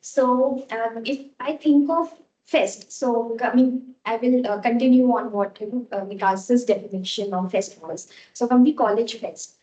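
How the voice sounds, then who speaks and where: A soft-spoken woman speaks slowly and hesitantly, giving an impromptu speech. Her voice sits a bit high. The sound is muffled and echoey, as if picked up across a room. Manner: slow, hesitant, laughs often.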